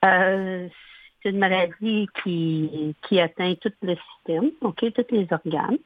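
A woman in her sixties, a native English speaker from Ottawa, speaking French as a guest with a slow, halting manner and frequent throat clearing. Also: fairly low pitch, dry recording. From Ottawa, Canada. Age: 60s. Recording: tinny phone line.

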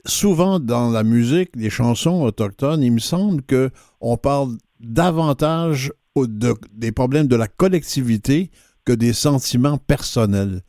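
Relaxed male radio host, dry studio. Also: medium pitch, measured pace, dry recording. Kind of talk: radio interview.

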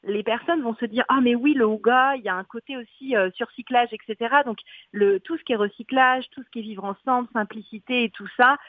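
A woman author, chatting in a radio interview, full of fillers and quick laughs. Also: on the high side, no room sound. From French-Canadian. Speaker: author guest. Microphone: phone line. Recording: tinny phone line.

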